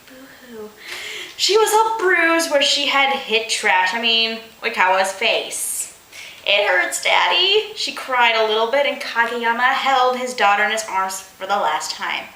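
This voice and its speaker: A woman's voice: on the high side, lively intonation, a little slow, sharp tone, roomy sound, poor audio. A woman hosting a comic read-aloud of amateur fan fiction, giggly, mock-dramatic and incredulous in her delivery.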